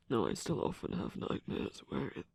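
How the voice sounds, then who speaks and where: A performer voicing a proud, wounded villain who is secretly vulnerable, in a hesitant, breathy ASMR romance roleplay with frequent whispering. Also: a little mellow, dry recording, natural voice. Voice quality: breathy, husky, often whispering. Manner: hesitant, halting, sighing.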